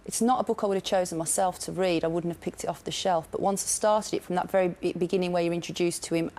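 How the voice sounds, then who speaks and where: A young adult woman speaks as a panellist in a conversational book discussion. She talks quickly, in a voice slightly lower than most women's. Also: fairly even intonation, darkish tone.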